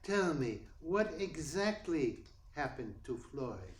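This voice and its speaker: Prissy, higher-voiced gentleman crook played by a man. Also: sing-song, takes it slowly. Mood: suspicious. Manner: formal, precise, prissy. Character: polite, fussy, menacing male crook, easily indignant. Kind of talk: radio play acting in 1940s noir manner. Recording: roomy, echoey sound with faint noise.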